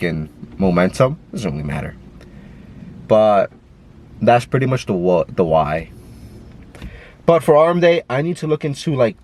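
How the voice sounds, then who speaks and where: A man's voice: low voice, darkish tone, slight background noise. A man with a stuffy nose, talking in a casual, rambling way with lots of fillers and swearing, filmed on a camera while driving. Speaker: vlogger. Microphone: camera mic.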